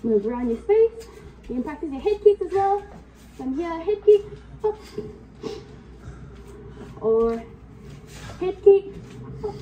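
High-voiced woman coaching kicks, sniffly. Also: mellow. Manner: coaching cues, counting time, brisk commands. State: sniffly from a cold. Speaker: fitness instructor. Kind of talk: live kickboxing class instruction. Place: home workout room. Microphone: far camera. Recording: distant camera mic in a room with faint noise.

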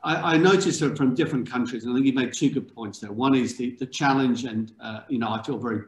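A man, chairing an academic panel on a video call, talking in a relaxed, conversational way with asides. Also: mid-range voice, quick pace. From England. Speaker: panel chair, journal editor. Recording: roomy video call audio.

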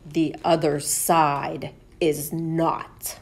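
A woman reading as a slow, dramatic little storybook knight. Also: sits low, flat delivery, creaky, darkish tone, heavily acted. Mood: smug, wary. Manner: exclaiming, drawn-out dramatic delivery. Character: small boy knight, smug then alarmed, storybook. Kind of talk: children's picture book read-aloud.

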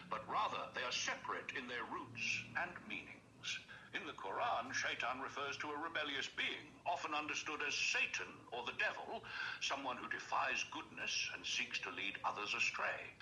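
Theatrical old Father Christmas for a chat app: kindly, very bright, wildly animated pitch. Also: rough recording. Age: older. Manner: exuberant, courteous, theatrical. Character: jolly kindly old Santa Claus, warm and scholarly. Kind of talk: AI character phone chat, explanatory. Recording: phone speaker playback, some background noise.

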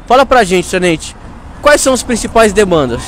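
A man's voice: sits high, very animated, normal speed, a bit bright, a little hiss or hum. A young man with a Brazilian accent reporting and interviewing on location outdoors, speaking into a small mic held close. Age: young adult. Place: outdoors in front of a fire station. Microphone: small handheld clip mic held near the mouth.

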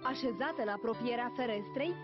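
A woman narrator reading a scripted fairy tale for a cartoon. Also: fairly high pitch. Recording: muffled old cartoon soundtrack, music behind.

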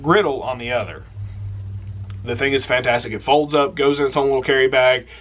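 Young guy hosting an outdoors vlog; bright, forceful tone, loose and rambling, keen about his picks, close to the camera in a small room. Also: mid-range voice, fairly quick, poor audio. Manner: relaxed, rambling, enthusiastic with trailing asides. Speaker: outdoors channel host. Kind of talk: casual product recommendation vlog.

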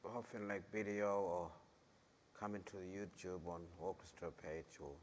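Slow-speaking man, halting and low. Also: steady tone, slight background noise. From northeastern Arnhem Land. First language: Wagilak. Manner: halting, simple phrasing in short fragments. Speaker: ceremonial song performer on a panel. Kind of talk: panel talk. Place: concert hall stage.

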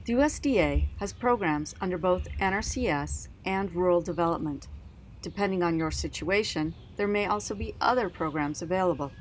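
Woman voice-over, low-ish voice, calm scripted explainer read. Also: slight background noise, poor audio. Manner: clear, measured, explanatory. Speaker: narrator. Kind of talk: scripted narration.

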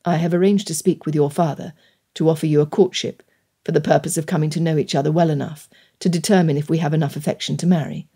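A woman voicing an earnest young gentleman suitor in a period romance audiobook, speaking formally and solemnly. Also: low voice, fairly even intonation, fast talker, slightly rough, pitched below the performer's own voice.

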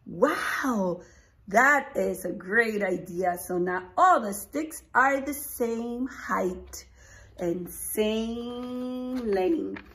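High, very expressive woman teaching preschoolers. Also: very slow. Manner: expressive, exclaiming, gasping, questioning young listeners. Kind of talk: animated children's picture-book read-aloud. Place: small indoor room with posters.

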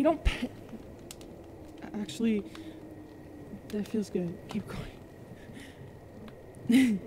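Woman acting a soft, mellow, anguished werewolf girlfriend. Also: medium pitch, slight background noise. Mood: reluctant, insecure, then soothed. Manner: halting, emotional, breaking into whispers. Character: huge, self-loathing young werewolf girlfriend, anguished and tender. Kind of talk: ASMR roleplay acting. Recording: cricket and night ambience behind.

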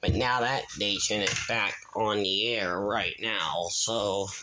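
A man giving a casual, halting, repetitive show-and-tell narration into a handheld phone in a room at home. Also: on the low side, steady tone, noisy background. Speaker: video host.

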